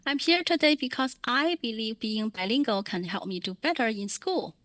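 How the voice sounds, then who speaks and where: A woman interpreting children's remarks from Mandarin into English at a public meeting, in measured, plain phrasing. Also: a little high, animated, fairly bright tone, no room sound.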